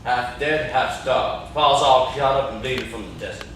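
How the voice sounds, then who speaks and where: A man's voice: mid-range voice, moderate pace, a bit bright, lightly acted. A young man acting in an amateur stage play as a menacing, mournful old cabin man with a folksy rustic drawl. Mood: accusing. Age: young adult. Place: classroom, indoors. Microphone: far camera. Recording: echoey classroom, distant camera mic, noisy.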